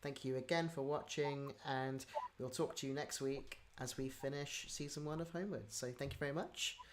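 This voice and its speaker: Guy hosting a livestream, normal pitched voice, just chatting to the audience. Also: steady tone, normal speed, noisy background. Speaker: livestream host. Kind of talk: casual livestream sign-off chat.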